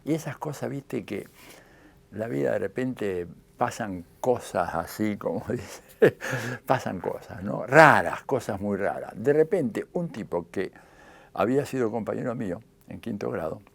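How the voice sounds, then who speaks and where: A man's voice: lowish voice, lively intonation, unhurried, creaky. An older man with a Rioplatense Argentine accent, telling his story in an interview and acting out the dialogue he quotes.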